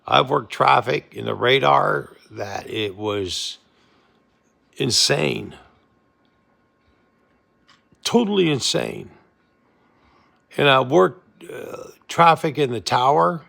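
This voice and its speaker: A middle-aged or older man giving an impassioned personal monologue, speaking emphatically and haltingly, repeating himself for stress. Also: medium pitch, creaky, sharp tone. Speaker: former Navy air traffic controller speaking to viewers.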